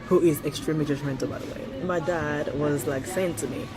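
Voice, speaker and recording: A woman's voice: low-pitched, fairly even intonation, fairly quick, loud music behind the voice. Woman, 30s, Swiss French accent with a hint of British, French native speaker, chatty vlogger, blunt, laughs a lot, swears. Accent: Swiss French, with a touch of British. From Switzerland. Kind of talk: casual opinion vlog.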